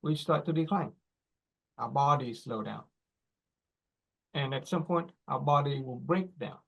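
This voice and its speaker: A man in his forties giving a teaching talk, explaining slowly in short phrases and checking in with "right?" and "okay?". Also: mid-range voice, vocal fry, husky, dull audio. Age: 40s. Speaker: speaker.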